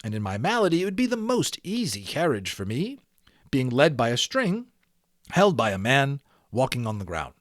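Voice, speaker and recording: A man's voice: medium pitch, very animated, moderate pace, no echo, studio quality, close to an everyday voice. A man voicing a sickly old statesman in a storybook with formal, old-fashioned phrasing. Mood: wistful. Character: sickly old statesman wishing for gentle travel.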